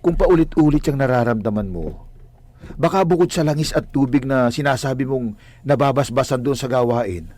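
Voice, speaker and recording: A man's voice: mid-range voice, normal speed, a little mellow, close to an everyday voice. An actor playing a concerned, hesitant younger male cousin in a radio drama.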